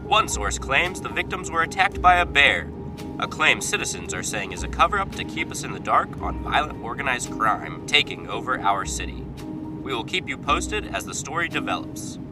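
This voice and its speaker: A dramatic radio news anchor voiced by a male actor delivers a bulletin in a lively, swinging mid-range voice. He sounds set back from the mic, as on a car radio, with music behind him. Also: mid-range voice, moderate pace, distant mic, lightly acted. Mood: urgent, dramatic.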